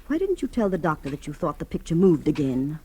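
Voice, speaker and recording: A woman's voice: low voice, very animated, fairly quick, dark tone, husky, exaggerated voice. An actress playing a solicitous woman who coaxes and reassures, in 1940s radio drama style. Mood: soothing, concerned. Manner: coaxing, reassuring. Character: soothing, solicitous woman caring for nervous Julia.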